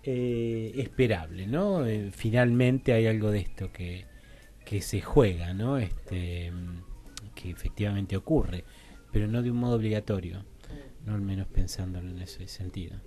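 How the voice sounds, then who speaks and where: A man with an Argentine accent giving an academic explanation as a radio guest, halting and didactic, often checking that he is followed. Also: lowish voice, warm tone, soft background music. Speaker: interview guest, university teacher and researcher.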